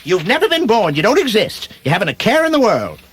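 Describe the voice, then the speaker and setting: Man playing a high-voiced, swooping dotty old angel. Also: huge pitch swings, fast talker, fairly bright tone, slightly rough, no echo, heavily acted. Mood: earnest, musing. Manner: musing, naive, hesitant comic delivery. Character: dotty, innocent elderly angel, nearly three hundred years old. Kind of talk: comic radio-play acting.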